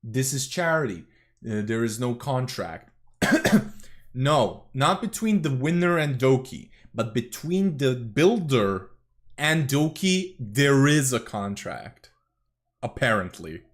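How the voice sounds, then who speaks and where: A man's voice: mid-range voice, big pitch swings, takes it slowly. A young man from Serbia, rambling through casual reaction commentary as a streamer, swearing often and correcting himself.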